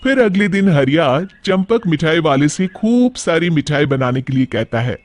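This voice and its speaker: A man's voice: on the high side, big pitch swings, normal speed. A man narrating a cartoon story in a dramatic, scripted storytelling style, on a noisy recording.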